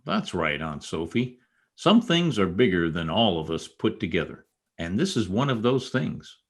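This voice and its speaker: Animated, lowish-voiced wry reporter, acted by a man. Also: moderate pace, husky, heavily acted. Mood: mock solemn. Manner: wry, joking, hesitant asides. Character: wry, easygoing male newspaper reporter. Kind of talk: old-time radio comedy acting. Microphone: video call.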